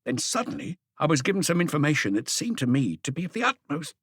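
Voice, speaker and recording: A man voicing an excitable, eager police inspector who reports deferentially to his boss in an audiobook. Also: on the high side, quick pace, husky, dry recording, clean studio sound, heavily acted. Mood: eager, reporting.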